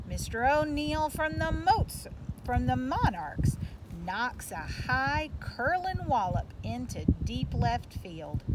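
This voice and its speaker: A woman reading a children's storybook aloud as narrator. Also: on the high side. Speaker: reader of a children's book. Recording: loud background noise.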